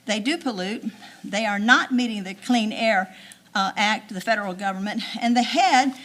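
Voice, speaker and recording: A woman's voice: mid-range voice, moderate pace, a bit bright. A woman pushing eighty, giving a folksy, outspoken public comment at a county council meeting. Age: older. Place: council chamber.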